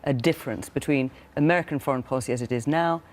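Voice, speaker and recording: A woman presenting a news panel, putting probing, measured questions to her guests. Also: rumbling low. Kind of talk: broadcast news panel interviewing.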